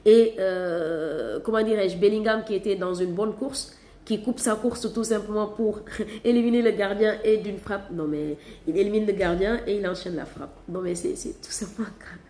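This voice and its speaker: Catalan woman doing a football recap vlog, keeps repeating herself for emphasis, mid pitch, echoey room. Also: moderate pace. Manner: animated, emphatic, repeats phrases for stress. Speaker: football channel host. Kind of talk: casual football match review.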